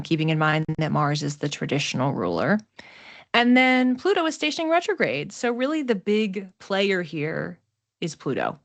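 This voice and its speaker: Lower-voiced woman explaining an astrology forecast. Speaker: astrologer, presenter.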